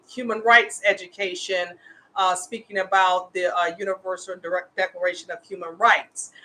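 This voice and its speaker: A woman's voice: on the high side, bright, forceful tone, low-quality recording. A woman in her fifties hosting a casual live show, speaking with earnest, impassioned conviction as an advocate. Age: 50s. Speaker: host, homeless women's advocate. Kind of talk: casual livestream talk.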